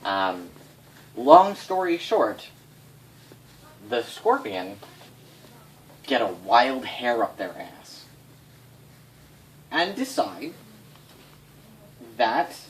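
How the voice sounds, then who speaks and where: A man tells fantasy history off the cuff, with big swings in pitch and frequent swearing. His delivery is casual and dramatic, picked up from a distance in a noisy room. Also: drawn-out words, pauses often. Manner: sweary, animated, dramatic emphasis. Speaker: storyteller recounting game lore to players.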